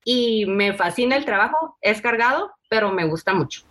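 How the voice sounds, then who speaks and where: A woman's voice: on the high side, lively intonation, normal speed, fairly bright tone. A woman guest, a biologist, talking enthusiastically in a casual panel chat.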